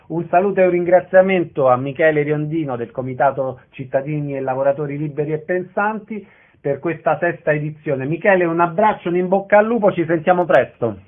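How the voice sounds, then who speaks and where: An Italian man hosting live radio, linking guests in a friendly, informal way. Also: on the high side. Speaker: radio host. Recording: thin phone-like radio sound.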